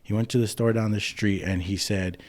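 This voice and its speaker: A podcast guest from Hawaii tells his family's story in an easygoing, meandering way, full of pauses and "so"s. His low voice stays level and keeps the same pitch throughout. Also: steady tone, a little mellow. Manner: easygoing, rambling, with frequent um and so. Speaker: podcast guest, clothing company owner. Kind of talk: casual storytelling about family history.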